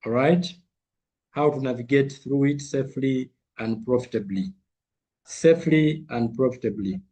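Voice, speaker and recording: A man's voice: mid-range voice, moderate pace, warm tone. A man with a cold teaching a live online trading lesson, repeating figures and phrases for emphasis. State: has a cold. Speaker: trading coach.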